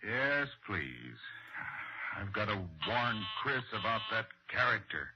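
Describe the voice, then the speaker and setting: Anxious radio operator, lively pitch, muffled vintage recording. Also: male voice, takes it slowly, music in the background, exaggerated voice. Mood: anxious, impatient. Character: anxious radio operator, a worried husband on night duty. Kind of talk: 1960s radio suspense drama acting, with first-person narration. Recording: dull old radio broadcast recording.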